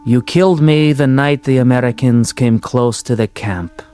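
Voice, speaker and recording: An actor playing the solemn spirit of a murdered prisoner, a measured and relentless accuser in a radio drama. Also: male voice, medium pitch, mellow, soft background music, close to an everyday voice. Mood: coldly bitter. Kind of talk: classic radio drama acting.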